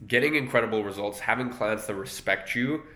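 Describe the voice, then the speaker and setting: A man's voice: lowish voice, a little fast, sharp tone. A young man with a cold and a nasal voice, talking casually to camera about his agency with lots of fillers and restarts. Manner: conversational, many fillers and restarts. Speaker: agency owner and online business educator. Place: room at a desk with an editing screen. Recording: echoey room.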